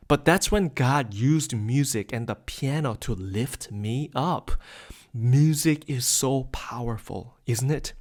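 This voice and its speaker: A man in his thirties from South Korea hosting a webinar, delivering an upbeat, persuasive scripted sales pitch. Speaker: webinar host.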